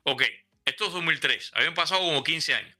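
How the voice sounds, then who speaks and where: Bright-voiced Cuban man telling stories. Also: fairly high pitch, fast. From Cuba. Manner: rambling anecdotes with many restarts. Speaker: guest. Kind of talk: conversational storytelling.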